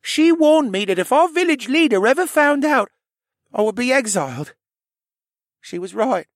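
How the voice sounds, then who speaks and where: A man voicing a shy, troubled young boy in an audiobook, earnest and halting in his speech. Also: very high voice, sing-song, no room sound, clean studio sound, voice pushed up. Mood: sad, confiding. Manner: earnest, halting, stammering over words. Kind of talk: audiobook character voice.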